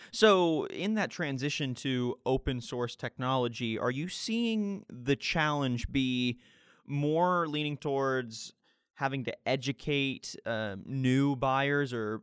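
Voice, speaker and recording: Clear-voiced male interviewer, dry sound. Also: medium pitch, fairly bright tone, no echo. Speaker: interviewer, host. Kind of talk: conversational interview questions.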